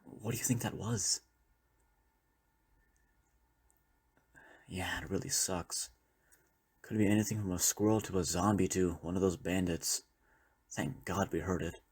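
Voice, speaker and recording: A man's voice: low voice, flat delivery, moderate pace, darkish tone, husky. A man playing a weary former doctor surviving a zombie apocalypse, talking in a reflective, conversational way to a trusted partner in an intimate audio roleplay. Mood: hushed, wary. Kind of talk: intimate audio roleplay, one-sided dialogue.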